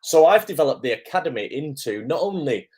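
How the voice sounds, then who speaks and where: A man with a hoarse, croaky voice from illness, hosting a casual livestream as an acting coach, greeting viewers and filling pauses with "um". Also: on the high side, very animated, a little mellow. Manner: chatty, lots of "um" fillers, greets viewers by name. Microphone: camera microphone.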